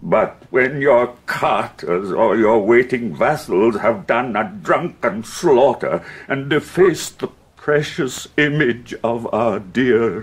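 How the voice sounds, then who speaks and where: An actor playing an anguished, wrathful English king in a Shakespearean audio drama, declaiming with heavy pauses and a husky voice. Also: fairly low pitch, full character voice. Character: grief-stricken, wrathful, ailing English king.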